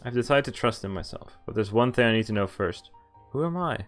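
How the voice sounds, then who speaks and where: A man in the middle of his pitch range, streaming a visual novel and reading its lines aloud between excited reactions. He thinks through each choice out loud. Soft game music plays faintly behind him. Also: brisk. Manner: excitable, thinks aloud, reads story lines in first person. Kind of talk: live game commentary and reading text aloud.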